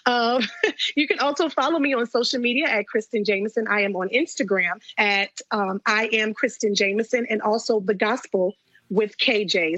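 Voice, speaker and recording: A woman in her late thirties, a gospel singer telling stories as an interview guest, animated and laughing often. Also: medium pitch, dry recording, dull audio. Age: 30s. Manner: animated storytelling, frequent laughter.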